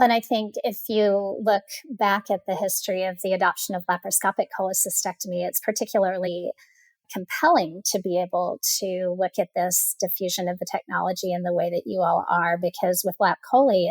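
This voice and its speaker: A woman podcast host interviewing researchers in a measured, articulate way. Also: on the low side, no room sound, poor audio.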